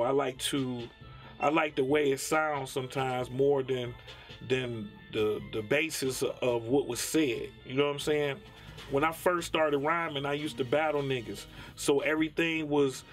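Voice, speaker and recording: A man in his thirties with a Detroit accent, speaking casually and slangily in a documentary interview as a rapper. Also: medium pitch. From Detroit, USA. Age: 30s. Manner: slangy, conversational with frequent tag phrases. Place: small dim padded studio room, music behind.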